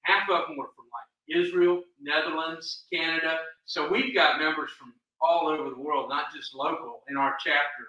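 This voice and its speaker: A man's voice: fairly high pitch, fairly even intonation, normal speed, hardly any pauses, sharp tone. A man chatting casually as a guest on a show. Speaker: fan club chapter officer, show guest. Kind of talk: casual chat. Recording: very echoey room, far from mic.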